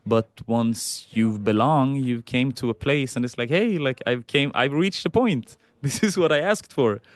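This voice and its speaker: A man from Sweden in a reflective, casual conversation, talking haltingly and repeating phrases as he thinks. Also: medium pitch, no room sound. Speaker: podcast participant.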